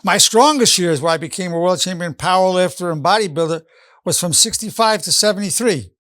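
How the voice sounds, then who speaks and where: A man's voice: high voice, fairly quick, fairly bright tone. An older man in his late seventies, a psychologist giving an earnest motivational lesson and repeating his key phrases. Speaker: psychologist teaching an online lesson. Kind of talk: motivational lecture.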